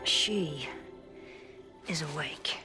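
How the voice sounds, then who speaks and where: A fierce wounded warrior woman from a game. Her deep voice comes slowly and haltingly, stubborn and emphatic, with music behind. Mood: angry, defiant. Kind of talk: video game cutscene acting.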